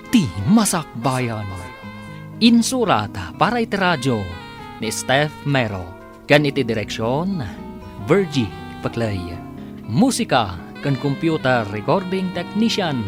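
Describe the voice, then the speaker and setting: Measured male radio drama announcer over music. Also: medium pitch. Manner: slow, measured reading. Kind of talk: scripted announcing of credits. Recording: radio broadcast with music bed.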